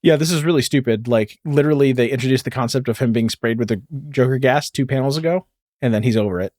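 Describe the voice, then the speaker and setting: A man's voice: mid-range voice, quick pace, darkish tone, no room sound, clean studio sound. A man co-hosting a podcast, chatting about comics in an informal, opinionated way. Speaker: podcast co-host. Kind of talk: casual comic-book recap chat.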